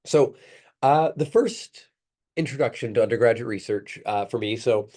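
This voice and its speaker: Croaky man with a cold, hesitant. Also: medium pitch, normal speed, a little mellow. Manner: hesitant, with many ums and throat clearing. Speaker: computer science professor. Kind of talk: workshop talk. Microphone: video call.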